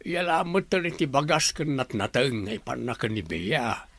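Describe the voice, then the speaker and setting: Husky, gravelly ailing Ilocano father. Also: medium pitch, expressive, moderate pace, a bit bright. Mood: weary, resigned. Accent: Filipino, Ilocano. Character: ailing older husband and father, worried about money. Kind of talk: radio drama acting.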